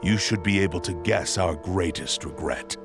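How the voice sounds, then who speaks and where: A man voicing an ancient, bitter cursed sword in a game, speaking slowly and gravely. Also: sits low, music in the background. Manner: slow, measured, grave.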